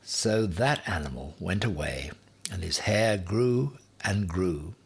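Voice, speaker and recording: A man reading a children's story aloud in a deliberate, expressive way with dramatic emphasis. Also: low-pitched, creaky, husky. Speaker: story reader.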